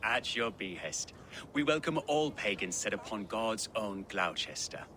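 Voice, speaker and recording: Pious young villager, video game, sour, disdainful, lively intonation, noisy ambience. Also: male voice, on the low side, a little slow. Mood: sardonic. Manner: sardonic, sanctimonious. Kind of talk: video game cutscene acting.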